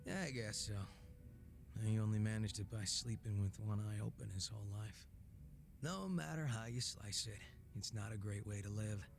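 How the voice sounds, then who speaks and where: A man's voice: lowish voice, normal speed, gravelly, slight background noise. A man playing a laid-back, sardonic former gang boss in a video game cutscene, talking casually with teasing and profanity. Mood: jaded, candid. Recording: music under the dialogue.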